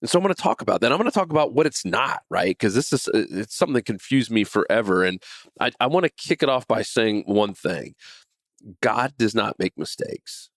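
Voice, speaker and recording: A man's voice: fairly low pitch, expressive, a little fast, no room sound, clean studio sound. A man in his forties hosting a podcast, talking earnestly about faith and purpose with frequent restarts and repeated words. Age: 40s. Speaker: podcast host. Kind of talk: casual faith talk monologue.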